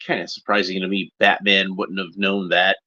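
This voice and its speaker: Bright-voiced man, casual watchalong host, slightly dull audio. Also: deep voice, flat delivery, normal speed. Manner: rambling, unscripted, with short observational remarks. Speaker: host of a cartoon watchalong commentary. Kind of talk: casual off-the-cuff watchalong commentary.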